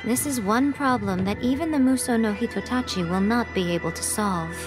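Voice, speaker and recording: A woman's voice: a little high, lightly acted. A woman voicing a sly, teasing, elegant fox-spirit shrine maiden in a video game, coy and knowing in manner. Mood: playful, musing. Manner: coy, wry, unhurried and knowing. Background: music.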